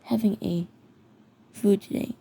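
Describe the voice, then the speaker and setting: A speaker narrating a homemade scenario video in a halting way, often repeating words. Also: medium pitch, steady tone, gravelly, dark tone, breathy edge. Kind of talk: amateur narration of a mock emergency alert scenario video. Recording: noticeable background noise.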